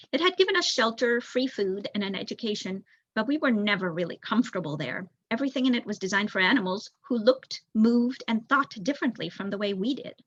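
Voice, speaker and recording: A woman's voice: mid-range voice, a bit bright, slightly rough, dry recording, dull audio. A young woman reads a storybook aloud as narration, expressively, with an occasional stumble. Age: young adult. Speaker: volunteer audiobook reader.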